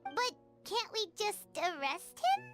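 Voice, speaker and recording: A woman voicing a small, curious and excitable childlike fairy companion in a video game, with a squeaky voice. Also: slow pace, exaggerated voice. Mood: nervous. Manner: refers to self in third person, exclaiming, inquisitive. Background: music.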